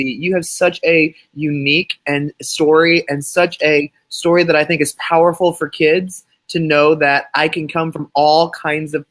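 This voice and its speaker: A man's voice: on the high side, fairly bright tone. Enthusiastic male podcast host. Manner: enthusiastic, repeats words when starting sentences. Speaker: show host. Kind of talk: casual interview talk.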